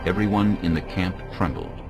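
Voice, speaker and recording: A man giving a measured, solemn scripted narration of scripture as the narrator. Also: low-pitched, animated, vocal fry. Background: music.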